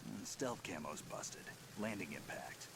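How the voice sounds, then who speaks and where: A gruff middle-aged infiltration agent for a dramatic video game. His voice is deep and gravelly, and he talks in terse, dry, deadpan phrases over clear rainy noise. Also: measured pace, full character voice. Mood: dry, wary. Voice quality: gravelly low growl.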